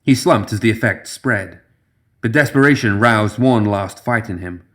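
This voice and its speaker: A man's voice: fairly low pitch, moderate pace, fairly bright tone. A man reading scripted fantasy fiction as a dramatic audiobook narrator.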